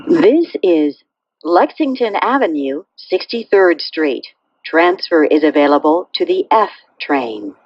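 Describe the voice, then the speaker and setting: A woman making pre-recorded subway train announcements in a measured, formulaic way. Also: sits low, expressive, no echo, lo-fi sound. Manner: measured, clearly phrased, formulaic delivery.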